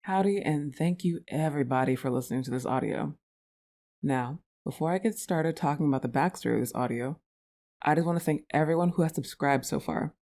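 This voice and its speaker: A woman's voice: low voice, fairly quick, warm tone. A woman who makes audio roleplays, talking casually and directly to her listeners in an outro. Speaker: audio roleplay creator and performer.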